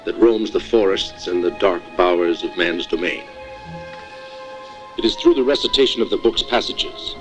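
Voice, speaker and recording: An actor playing a formal history professor logging sinister discoveries, measured and portentous, in a horror film. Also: male voice, lowish voice, lightly acted, low-quality recording. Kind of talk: scripted horror film recorded-log narration.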